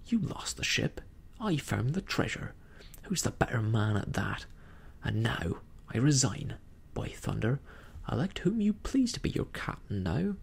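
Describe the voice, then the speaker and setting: A man voicing a crafty, domineering pirate sea cook in a storybook, taunting in rough nautical dialect with a husky, gravelly voice. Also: medium pitch, big pitch swings, unhurried, in a whisper, full character voice. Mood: defiant.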